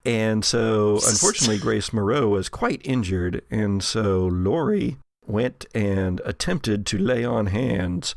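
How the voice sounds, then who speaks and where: A man hosting a tabletop game podcast as game master, mixing dramatic, deliberate narration with casual, dryly humorous chat. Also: lowish voice, lively intonation, vocal fry. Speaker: host and game master of an actual play podcast.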